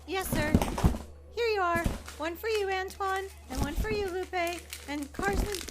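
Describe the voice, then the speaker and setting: An actress playing a compliant office assistant who hands things out dutifully. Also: female voice, very high-pitched, measured pace. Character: obedient female office assistant Jenny. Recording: audio drama with loud music.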